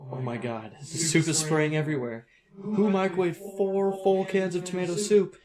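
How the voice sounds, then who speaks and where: A man's voice: sits high, moderate pace, a crowd in the background, natural voice. A man playing a crude, exasperated fighter trainer in a comic radio drama, blunt and sweary in his bewilderment. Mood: bewildered, frantic.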